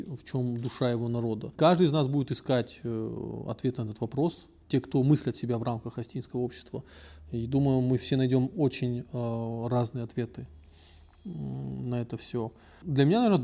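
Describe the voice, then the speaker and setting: A man from North Ossetia speaks as a guest on a podcast, with a gravelly, mellow voice. He talks in a reflective way and often hesitates with drawn-out fillers while he searches for words. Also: medium pitch. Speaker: podcast guest, blogger and activist. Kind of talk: casual discussion.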